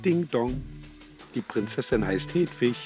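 An actor playing a wry, grumbling bystander who comments dryly and mockingly in a children's radio play. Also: male voice, mid-range voice, animated, measured pace, a little mellow, faint music behind, close to an everyday voice.

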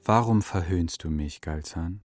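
An actor playing a proud, defiant talking eagle with a haughty, measured manner in a children's radio play. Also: male voice, deep voice, soft background music. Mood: wounded, reproachful. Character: proud, defiant male eagle that talks in a dream.

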